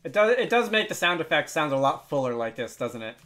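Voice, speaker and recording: A man hosting a casual software tutorial, thinking aloud hesitantly and adding wry, self-deprecating asides. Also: on the high side, very animated, fairly quick. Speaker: tutorial host.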